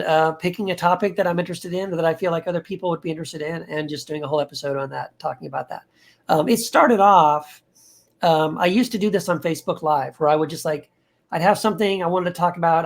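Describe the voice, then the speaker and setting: A man who is an author, guesting on a podcast and talking in a relaxed, rambling, easygoing way. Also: fairly high pitch. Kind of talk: casual interview chat.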